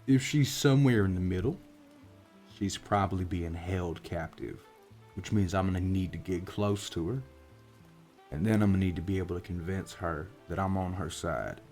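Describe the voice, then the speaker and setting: A man voicing a gruff, world-weary orc private investigator in a soft-spoken roleplay, with a folksy, wry drawl. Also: low-pitched. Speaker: voice actor in roleplay audio.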